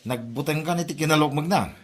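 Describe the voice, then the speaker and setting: A man's voice: on the high side, fast talker, close to an everyday voice. Man playing a teasing Ilocano drinking buddy. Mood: mocking. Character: needling drinking buddy, mocking his henpecked pal. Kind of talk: comedy drama podcast acting.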